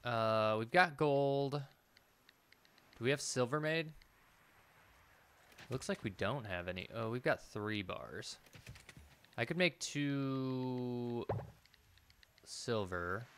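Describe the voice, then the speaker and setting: A man's voice: mid-range voice, takes it slowly, pauses often, a bit bright, clear, resonant tone. A man in his thirties doing casual gameplay commentary, hesitantly thinking aloud and repeating himself as he mutters. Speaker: game streamer. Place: indoor room, streaming at a computer.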